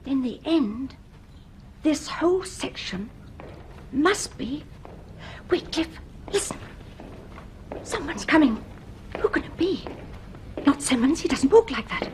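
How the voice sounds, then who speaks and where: A woman's voice: high-pitched, big pitch swings, slow pace, pauses often, heavily acted. A woman playing a sensible, inquisitive young student in a radio drama, speaking in an earnest, questioning manner. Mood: tense, alert. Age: young adult. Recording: noisy radio drama recording.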